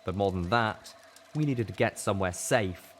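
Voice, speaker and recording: A man's voice: on the low side, normal speed, a bit bright, slight background noise. A man reading a first-person story in a dramatic audiobook narration style. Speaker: story reader.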